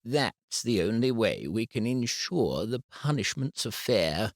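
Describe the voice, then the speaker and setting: A man voicing a calm, formal female head teacher in an audiobook, speaking patiently and carefully. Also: medium pitch, unhurried, dry recording, studio quality, pitched above the performer's own voice. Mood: measured.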